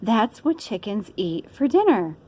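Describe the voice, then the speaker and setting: A woman's voice: medium pitch, sing-song, a little slow, warm tone, slightly rough. A woman voicing a cheerful, literal-minded housekeeper who answers innocently and matter-of-factly in a children's storybook. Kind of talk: children's storybook character reading.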